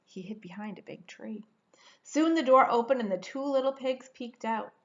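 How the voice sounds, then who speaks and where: A woman's voice: a little high, normal speed, muffled recording. A woman in her forties reading a children's storybook aloud expressively, stretching words for dramatic emphasis. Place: indoor room at home.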